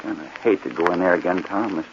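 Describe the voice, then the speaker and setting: An actor playing a nervous, hesitant young sidekick in a 1940s radio mystery. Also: male voice, deep voice, quick pace, close to an everyday voice. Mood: frightened. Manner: hesitant, uneasy. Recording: muffled, noisy vintage radio recording.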